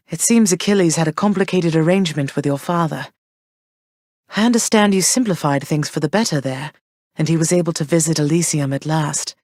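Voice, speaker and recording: A woman plays a gentle, regal queen and caring mother in a studio game. Her voice sits a little low, and she speaks warmly and with measured calm. The recording is dry and close. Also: no echo, natural voice. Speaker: game character.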